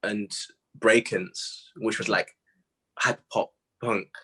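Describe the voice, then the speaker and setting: A man in his twenties with a husky voice, a guest artist chatting casually and telling stories in animated slang. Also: fairly low pitch, slow pace. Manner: animated slangy storytelling, quoting own reactions. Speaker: interview guest, music artist. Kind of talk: casual chat.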